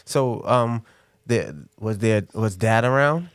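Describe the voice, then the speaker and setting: A man's voice: mid-range voice, takes it slowly, smooth, no echo. A man hosting an interview in an informal, encouraging, conversational way. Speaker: interview host. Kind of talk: casual on-camera interview.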